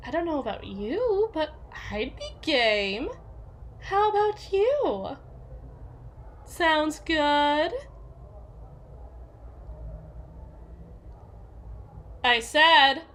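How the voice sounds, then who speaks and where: Woman playing a high-voiced, domineering jealous yandere. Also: fairly bright tone, heavily acted. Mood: sardonic, teasing. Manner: sarcastic, taunting, theatrical. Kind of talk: ASMR roleplay audio drama.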